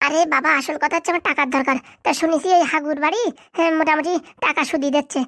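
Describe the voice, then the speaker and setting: A woman voicing a sly, flattering villager in a comedy cartoon, chatty and insistent. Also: high-pitched, fast, no room sound, studio quality. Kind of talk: amateur comedy cartoon dialogue.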